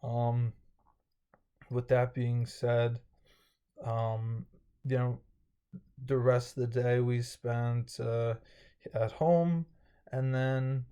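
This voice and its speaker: Young man, slightly dry throat, rambling casual vlog, flat delivery, mellow tone. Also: medium pitch, very slow, long pauses, clear, resonant tone. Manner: rambling, many fillers and restarts. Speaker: vlogger. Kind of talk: casual day-recap vlog.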